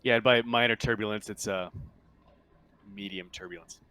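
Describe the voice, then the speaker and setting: A man improvising narration as the game master of a tabletop roleplaying game, haltingly searching for words. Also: lowish voice, fairly even intonation, pushed and bright.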